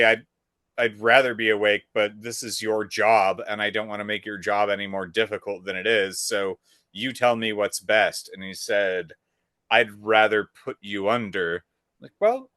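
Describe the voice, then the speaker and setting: Bright-voiced man telling a story. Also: lowish voice, dry recording, studio quality. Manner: animated storyteller, acts out quoted dialogue. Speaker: podcast co-host. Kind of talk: casual chat.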